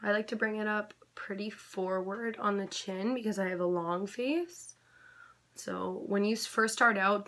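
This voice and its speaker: A young woman giving a casual makeup tutorial in a chatty, gentle instructional way, her voice a little off because she is coming down with something. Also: mid-range voice. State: slightly sick, voice a bit off. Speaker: makeup vlogger.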